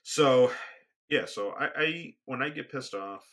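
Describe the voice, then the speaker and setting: A soft-spoken man co-hosting a casual podcast, rambling with frequent restarts. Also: animated, unhurried. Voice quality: booming when raised. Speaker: podcast co-host. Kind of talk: casual conversation. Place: home studio, remote recording.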